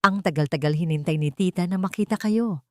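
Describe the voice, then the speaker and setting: A woman voicing an elegant, possessive aunt in an audio drama, honeyed and gushing with false warmth. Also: on the low side, brisk, dry recording, clean studio sound, natural voice. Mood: gushing, falsely sweet. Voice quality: honeyed, sweet. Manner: gushing, overly affectionate.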